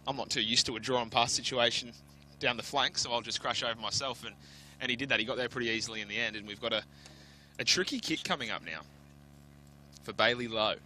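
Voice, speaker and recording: Bright, fast-talking co-commentator. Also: male voice, medium pitch, a little hiss or hum, rough recording. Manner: chatty analytical colour commentary, laughs easily. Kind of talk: live sports commentary and analysis.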